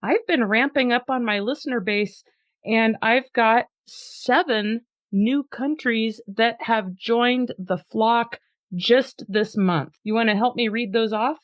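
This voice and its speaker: A woman's voice: a little high, slow, no room sound. A woman hosting a podcast in an upbeat, chatty way, laughing easily and also reading a poem aloud with drama. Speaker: podcast host.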